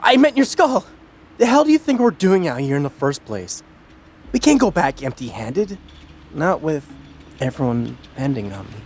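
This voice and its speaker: An actor playing a brash, hot-tempered young god in an audio drama, snapping rudely and shouting. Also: male voice, on the high side, big pitch swings, normal speed, slight background noise. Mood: gruff, impatient.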